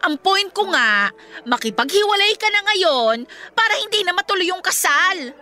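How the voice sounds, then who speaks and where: An exasperated Filipino woman friend in a radio drama. Her voice is high, sharp and very animated, pressing every point like a blunt scolding. Music plays behind her. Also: moderate pace, heavily acted. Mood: urgent, blunt. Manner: scolding, emphatic, straight-talking. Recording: music playing behind the dialogue.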